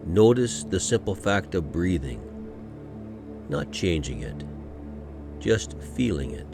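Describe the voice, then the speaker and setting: A man guiding a meditation from a script in a gentle, soothing, unhurried manner, pausing often between short phrases. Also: low-pitched, sing-song, creaky, over music. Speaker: meditation guide. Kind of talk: guided meditation, scripted narration.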